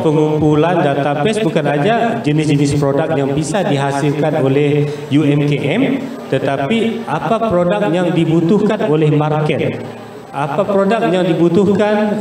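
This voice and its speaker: A man from Malaysia with a Malaysian accent gives explanatory interview answers as a business guest, pausing and searching for words. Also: fairly high pitch, dark tone. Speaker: business guest, investor and trade promoter. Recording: echoey, distant mic with faint noise.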